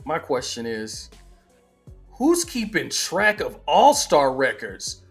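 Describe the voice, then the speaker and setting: A man's voice: a little high, huge pitch swings, slow pace, breathy edge, faint music behind. A man in his forties hosting a sports channel, talking in a casual, emphatic way and often repeating himself. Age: 40s. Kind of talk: casual opinion monologue.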